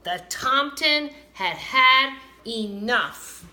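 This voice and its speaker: A woman reads a children's story with animated expression. Her voice is bright and takes its time. It sounds like an echoey room with faint noise. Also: high voice, extremely slow. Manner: animated, dramatizes characters' lines. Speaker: storybook reader. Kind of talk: expressive children's read-aloud. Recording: roomy, echoing, some background noise.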